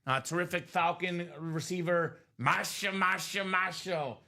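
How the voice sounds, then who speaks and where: Man hosting a live card break, bright and a touch high, upbeat sales talk, voice slightly worn. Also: measured pace, gravelly. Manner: upbeat, salesy running commentary. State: voice a bit worn from singing. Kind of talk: live stream selling chat.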